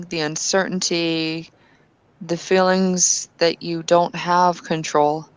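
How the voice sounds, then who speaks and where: A woman playing slow, earnest kinfolk believer. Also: fairly low pitch, rough recording. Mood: pondering. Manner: hesitant, thinks aloud with fillers and restarts. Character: devout kinfolk woman of faith, earnest questioner. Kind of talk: tabletop roleplay in character.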